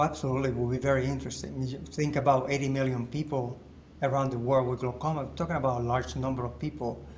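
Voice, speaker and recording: A man's voice: medium pitch, a little mellow. Confident man explaining, with faint hiss. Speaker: podcast guest. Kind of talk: casual conversation. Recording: faint background noise.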